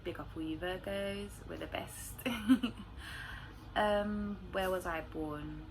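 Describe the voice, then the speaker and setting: A woman's voice: mid-range voice, takes it slowly. A woman with a London accent chatting casually and informally to camera as a vlogger, using plenty of slang. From London, England. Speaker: vlogger answering viewer questions. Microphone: phone recording. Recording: clearly noisy room recording.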